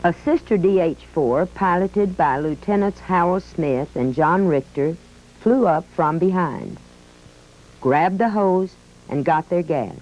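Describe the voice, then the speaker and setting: A woman's voice: fairly low pitch, lively intonation, slow, a little hiss or hum. A woman voicing an old retired army biplane who tells its story in a folksy, reminiscing way, with an American accent, in scripted museum narration. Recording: dull lo-fi audio with faint music.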